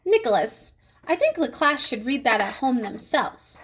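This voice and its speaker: Woman reader as a strict, high-voiced schoolteacher. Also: big pitch swings, husky, full character voice. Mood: controlled annoyance. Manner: measured, polite, authoritative. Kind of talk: children's storybook read-aloud.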